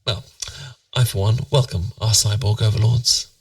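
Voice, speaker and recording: A British man playing a tender, playful boyfriend in a bedtime ASMR roleplay, musing softly and intimately to soothe his partner to sleep. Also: lowish voice, fairly even intonation, moderate pace, no echo, recorded from a distance, natural voice. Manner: soft, intimate, soothing, musing, occasionally near whisper.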